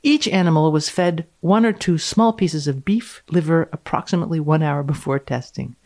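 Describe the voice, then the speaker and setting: Measured woman reading a scientific paper. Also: sits low, mellow. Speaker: guest reader on a science podcast.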